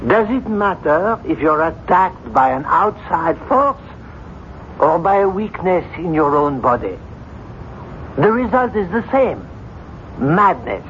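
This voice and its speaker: An actor playing a reasoning doctor in a radio drama, formal, deliberate and argumentative. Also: male voice, fairly high pitch, sing-song, fairly bright tone, exaggerated voice. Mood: firm concern. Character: measured, reasoning male doctor advising a troubled patient. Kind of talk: 1970s radio drama acting. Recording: muffled, noisy vintage radio broadcast.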